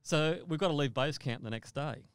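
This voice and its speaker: A man's voice: fairly high pitch, fairly quick, smooth, no echo, clean studio sound. An older man telling a first-person story conversationally as a podcast guest, often repeating and rephrasing his words.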